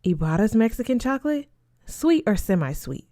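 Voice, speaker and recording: A woman's voice: fairly high pitch, very animated, normal speed, warm tone. A woman voicing a chatty, easily delighted teenage boy at boarding school, casual and eager.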